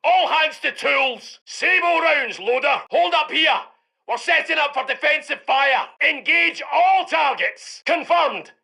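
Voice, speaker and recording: British heavy tank commander for a strategy game: gruff, commanding, gravelly, sharp and forceful barks. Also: male voice, extremely high pitch, lively intonation, a little slow, full character voice, rough recording. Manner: barking short orders forcefully. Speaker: game voice actor. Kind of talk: shouted military game barks.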